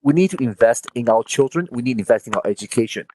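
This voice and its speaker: Man, political candidate, campaign speech, emphatic, mid pitch, dry close sound. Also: animated, fast, mellow, no echo, poor audio. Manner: emphatic, rising pitch on key points. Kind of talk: campaign speech at online panel.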